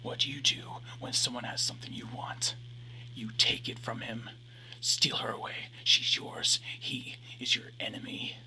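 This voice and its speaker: Sinister mirror double whispering from far away, bright hissy edge. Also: male voice, mid-range voice, flat delivery, measured pace. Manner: eerie unvoiced whisper.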